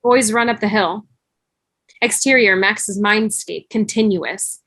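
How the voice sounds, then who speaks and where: Brief: woman narrator, mid-pitched, reading scene headings and action, dry video-call sound. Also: takes it slowly, fairly bright tone, dry recording. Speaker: stage-direction narrator. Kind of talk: scripted narration.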